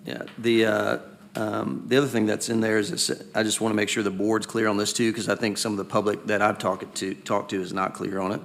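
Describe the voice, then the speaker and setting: A man with a raspy voice speaking as a school board member at a public meeting, questioning deliberately and circling back to restate his point. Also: fairly low pitch, little pitch movement. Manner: deliberate, questioning, repeats himself while building a point.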